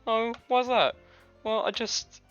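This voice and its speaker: A man voicing an excitable, touchy teenage anime schoolgirl in a video game read-aloud. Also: extremely high pitch, slow, clear, resonant tone, voice pushed up, exaggerated voice. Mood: flustered, defensive. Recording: slightly muffled lo-fi audio, music behind.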